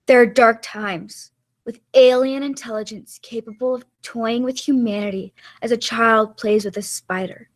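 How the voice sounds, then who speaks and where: A woman's voice: on the high side, steady tone, takes it slowly. A woman playing a solemn, self-important scientist's assistant, stiff and dramatic, in a radio play. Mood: ominous. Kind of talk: melodramatic radio play acting.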